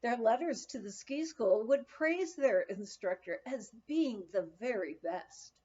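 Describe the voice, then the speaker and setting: A woman narrating a humorous memoir aloud, with expressive storytelling and deliberate phrasing. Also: fairly high pitch, muffled recording. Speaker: audiobook reader.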